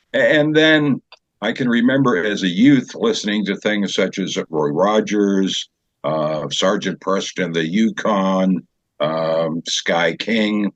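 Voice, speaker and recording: An older man being interviewed as a guest over a remote call, explaining things in a measured, halting way. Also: fairly low pitch, no echo. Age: older, 70s or so. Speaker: guest from a community theater group. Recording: dull, band-limited call audio.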